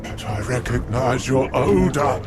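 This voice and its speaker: A man playing a covetous, obsessive old blind temple elder in a video game, theatrical and halting. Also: medium pitch, lively intonation, heavy background noise, natural voice. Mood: eager suspicion. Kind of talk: video game cutscene acting.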